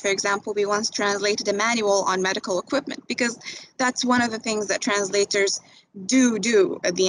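A woman's voice: mid-range voice, a bit bright, slight background noise, poor audio. A young woman from Tunisia, a recent graduate, talking informally on a webinar with many fillers and restarts. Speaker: graduate speaking to prospective students. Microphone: video call.

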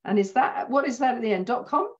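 A middle-aged woman hosting an interview on a video call, chatty and quick to laugh. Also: a little high. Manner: warm, chatty, laughs easily. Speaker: host. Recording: slightly dull video-call audio.

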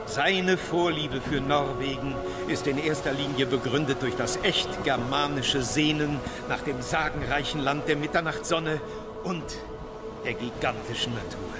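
An actor playing a reverent guest of the emperor, reading florid travel memoirs in a stately way. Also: male voice, medium pitch, a little slow, loud music behind the voice, close to an everyday voice. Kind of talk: literary travelogue reading in a docudrama.

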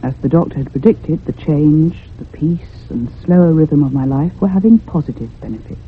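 An actress playing an anxious, curious woman in a radio drama, speaking in a measured, literary and expressive way. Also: sits low, a little hiss or hum, lightly acted. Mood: reflective. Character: anxious, curious convalescent unmarried woman narrating a haunting. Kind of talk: radio drama acting and narration. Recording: muffled, phone-like old radio sound.

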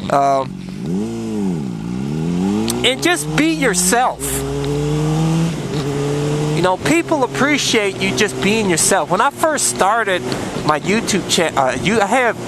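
A man's voice: high-pitched, very animated, slow pace, long pauses. A man vlogging from his motorcycle on country roads, talking bluntly and rambling with plenty of cussing, picked up by a helmet mic. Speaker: motovlogger. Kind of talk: casual riding commentary. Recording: loud wind and road noise from a helmet mic. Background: loud wind and engine noise.

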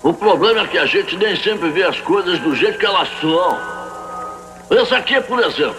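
A man voicing a brash, wisecracking cartoon mentor, emphatic, joking and preachy, in a dubbed animated film. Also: high voice, normal speed, low-quality recording. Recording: old cartoon soundtrack with music.

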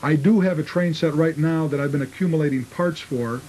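A man's voice: a little high, brisk, warm tone, poor audio. A man giving informal answers in an interview about his craft. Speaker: model train restorer being interviewed.

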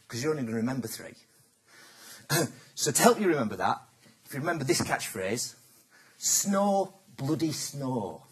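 Lively man presenting. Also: mid-range voice. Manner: animated, chatty, teasing the audience with questions. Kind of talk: business seminar talk to a live audience.

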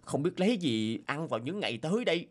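Lively man voicing a cartoon folk tale. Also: a little high, fast. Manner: animated, emotional line delivery. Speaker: voice actor doing all male parts. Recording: music bed under voice.

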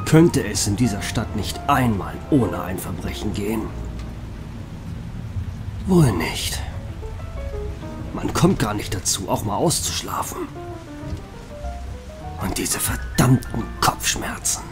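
A weary, sardonic police detective in an audio drama, acted by a man. He grumbles slowly, with pitch swinging widely, over music and rain. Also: very animated. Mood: gloomy, weary. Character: weary, grumpy male police detective, easily exasperated. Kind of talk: German audio drama acting.

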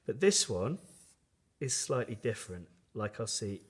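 A man's voice: on the low side, sing-song, measured pace. A man giving a step-by-step science tutorial in an explanatory, instructional manner as a teacher.

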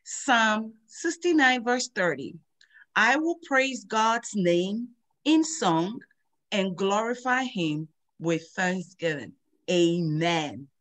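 A middle-aged woman, hosting a children's Bible lesson over a video call and slowly drilling memory verses in a sing-song teacher voice. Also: medium pitch, expressive, fairly bright tone. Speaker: host of a children's Bible program.

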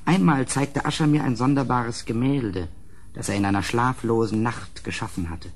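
An actor playing an uneasy gentleman who recounts a gothic horror in an audio drama, in a measured, grave, literary manner. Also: medium pitch, close to an everyday voice. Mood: grave, suspenseful. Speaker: narrator and character.

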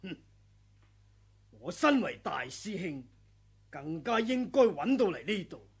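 A man's voice: sits high, very animated, slow pace, breathy edge, exaggerated voice. An actor playing a scheming older martial arts senior, smug, pompous and menacing, taunting his rival in a radio drama. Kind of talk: Cantonese radio drama villain acting.

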